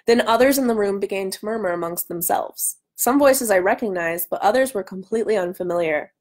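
A woman's voice: medium pitch, fairly quick, a little mellow. A woman in her thirties hosting a live book reading with casual chat, her voice nasal from a cold. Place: small room at home, indoors. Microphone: webcam at arm's length.

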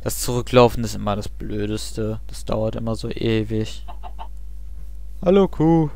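A man's voice: lowish voice, a little slow, vocal fry, dark tone. A young man chatting casually and rambling with self-mocking asides while he plays a game. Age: young adult. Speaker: let's play host. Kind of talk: casual gameplay commentary.

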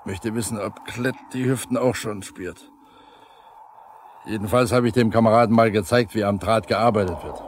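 A man's voice: lowish voice, steady tone, normal speed, lightly acted. An actor playing a proud, easily offended veteran foreman, grumbling and blustering in colloquial speech in a 1970s radio drama. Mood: smug. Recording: faintly noisy 1970s vinyl radio play.

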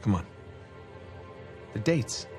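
A man's voice: slow pace, music in the background, lightly acted. A man in his thirties acting an eager, cocky younger treasure hunter in a cinematic video game, with casual, persuasive delivery. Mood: intrigued. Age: 30s.

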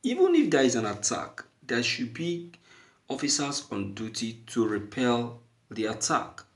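Male online commentator, mid-pitched with a creaky edge, emphatic and halting, opinionated take on the news. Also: big pitch swings. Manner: emphatic, repeating phrases for stress.

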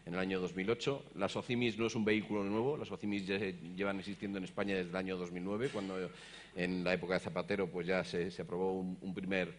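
A middle-aged man sits on a conference stage, moderating a panel. His voice is low and deep, and he explains hesitantly, often stumbling over repeated words. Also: little pitch movement, fast talker, slight background noise. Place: conference stage, seated in an armchair.

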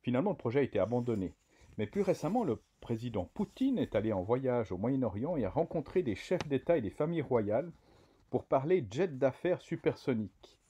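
A man reading a scripted news voice-over in a measured way. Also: medium pitch, big pitch swings, warm tone, husky. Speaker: narrator.

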